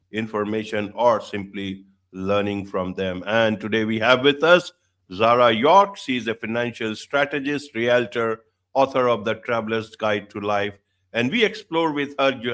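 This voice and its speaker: A man's voice: lowish voice, fairly bright tone. A man hosting an online business show, welcoming his guest formally and asking emphatic questions. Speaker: host. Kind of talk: show host interviewing.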